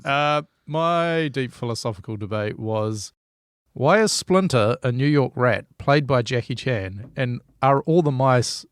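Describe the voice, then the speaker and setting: A man's voice: medium pitch, unhurried, smooth, no room sound, clean studio sound. A man chatting on a film podcast, musing in a mock-philosophical way. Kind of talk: casual chat.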